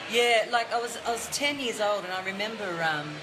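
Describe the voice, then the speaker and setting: A woman's voice: medium pitch, brisk, background noise. A woman with an Australian accent, a singer-songwriter chatting casually in an interview, upbeat and full of fillers and restarts. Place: backstage at a music venue.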